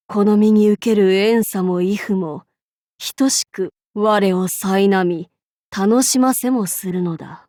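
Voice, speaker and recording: A woman acts out an imperious female demon king from a video game. She talks slowly, in archaic and lordly phrases, haughty yet amused. The recording is close and very dry. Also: medium pitch, warm tone, dry recording, natural voice. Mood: solemn, wistful. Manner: archaic, lordly, commanding speech. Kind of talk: Japanese game character voice lines.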